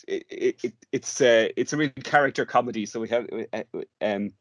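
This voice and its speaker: A man with an Irish accent answering questions in an informal podcast interview, speaking haltingly, repeating words as he searches for the next one. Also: mid-range voice, no room sound. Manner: hesitant, halting delivery with repeated words and long searching pauses. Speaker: interview guest, radio drama workshop director.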